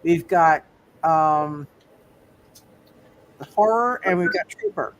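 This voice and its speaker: A game master casually refereeing a tabletop roleplay session, thinking aloud in repeated, halting phrases. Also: medium pitch, lively intonation, highs cut off.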